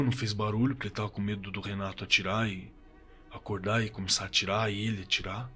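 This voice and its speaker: An actor playing a shaken Brazilian man giving first-person horror testimony in an audio drama, halting, repeating words and swearing colloquially. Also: fairly low pitch, bright, forceful tone, husky. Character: shaken man recounting a terrifying camping encounter. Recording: suspense music under the voice.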